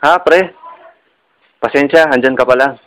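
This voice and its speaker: A man's voice: mid-range voice, normal speed, fairly bright tone, natural voice, poor audio. A man playing an earnest, persistent young suitor in a radio drama, coaxing and reassuring, with a Filipino accent. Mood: smitten.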